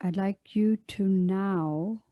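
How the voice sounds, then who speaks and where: A woman leading a guided meditation as a teacher, speaking in a gentle, soothing, unhurried way with long pauses. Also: mid-range voice, no room sound, phone-quality sound.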